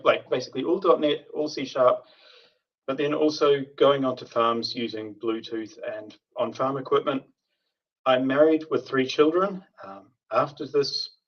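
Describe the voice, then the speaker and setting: A man giving a prepared tech conference talk in a measured way, with frequent filler sounds. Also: medium pitch. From New Zealand. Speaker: conference speaker, software architect. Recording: distant, dull remote call audio.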